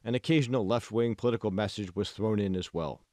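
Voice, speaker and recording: A man's voice: lowish voice, quick pace, darkish tone, no echo, studio quality. A man reading scripted audiobook narration as the author of the book.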